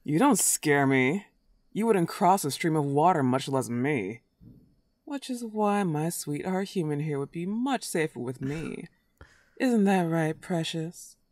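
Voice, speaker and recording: A woman's voice: sits low, animated, darkish tone, dry recording. A woman playing a smug, clingy, lovesick werewolf in a comedic roleplay audio drama, taunting and cooing. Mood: defiant, taunting. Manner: taunting, drawn-out teasing and cooing.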